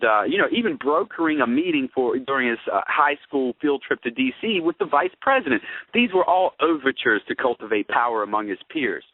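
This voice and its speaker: Man, mid-pitched voice, narrow phone-like radio sound, dry, wordy astrologer host, expository, conversational. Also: dry recording. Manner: wordy, expository, rhetorical questions.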